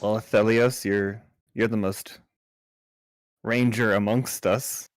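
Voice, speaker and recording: Unhurried low-voiced man at game table. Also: steady tone, smooth, dry recording. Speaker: player in a tabletop role-playing game. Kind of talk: casual in-game chat.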